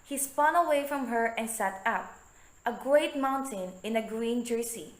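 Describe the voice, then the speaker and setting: A woman narrating a story in a measured, hushed way for a reader's theatre. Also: on the high side, lively intonation. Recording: echoey room.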